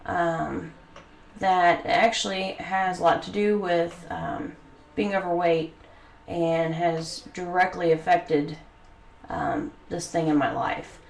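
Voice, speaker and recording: A woman's voice: fairly low pitch, slow, creaky. Sniffly young woman with a head cold. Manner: earnest, many hesitant ums. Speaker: vlogger. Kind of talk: personal talk to camera. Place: bedroom home studio. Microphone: studio mic. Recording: echoey room, faint background noise.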